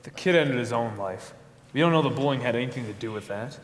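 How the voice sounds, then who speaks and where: A man's voice: medium pitch, lively intonation, fast talker, slight background noise, natural voice. A man playing a dismissive, irritated juror in an amateur stage play. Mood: argumentative. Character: irritated, dismissive male juror, brash and callous. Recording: very echoey hall, live stage.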